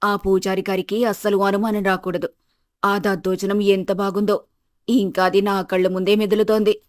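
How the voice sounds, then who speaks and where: A woman's voice: medium pitch, level tone, normal speed, a little mellow, no room sound, studio quality, close to an everyday voice. A woman plays a bossy village mother-in-law in a storybook tale. She is greedy and scheming, with a coaxing, dismissive way of talking. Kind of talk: Telugu moral-story character acting.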